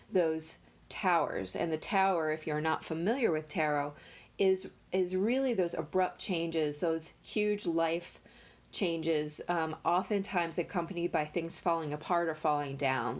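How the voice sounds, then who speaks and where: A woman giving a casual tarot reading, talking in a nasal, congested voice with many pauses and fillers. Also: low-pitched. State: congested from allergies. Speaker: tarot reader.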